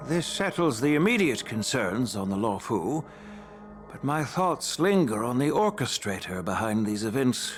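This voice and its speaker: A man's voice: medium pitch, big pitch swings, unhurried, soft background music. A man playing a wise elder general and strategist in a game, speaking with grave, eloquent deliberation. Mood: grave, reflective. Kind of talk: formal scripted game dialogue.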